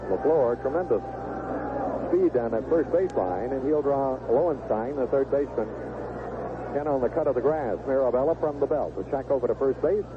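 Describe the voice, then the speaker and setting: A man's voice: mid-range voice, normal speed. A man doing live 1970s radio play-by-play of a baseball game, describing the action in short clipped phrases. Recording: noisy, narrow-band vintage AM radio broadcast.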